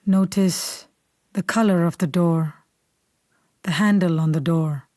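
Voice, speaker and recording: A woman leading a guided meditation in a calm, unhurried, soothing way, softly and at times almost whispering. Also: fairly low pitch, no echo, clean studio sound. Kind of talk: guided meditation, slow scripted instruction.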